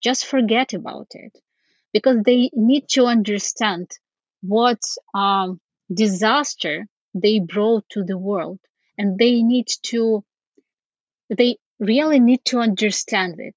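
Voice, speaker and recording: Slow-speaking Ukrainian-accented woman. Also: medium pitch, dry recording, lo-fi sound. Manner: earnest, halting, many fillers. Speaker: foundation CEO, guest. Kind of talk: interview answers.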